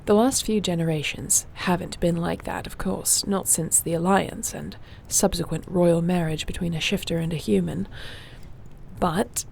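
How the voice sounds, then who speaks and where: Gravelly, low-voiced gentle warrior woman, acted by a woman. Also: a little hiss or hum. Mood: grave, explanatory. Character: gentle, wry woman warrior shifter with healing magic. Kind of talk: fantasy audio roleplay, intimate one-sided dialogue.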